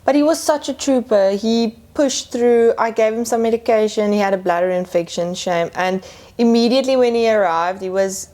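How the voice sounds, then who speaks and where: A woman's voice: fairly high pitch, normal speed, warm tone. A young woman with a stuffy, nasal voice from a cold, chatting casually on a podcast about weddings. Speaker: wedding planner, podcast co-host.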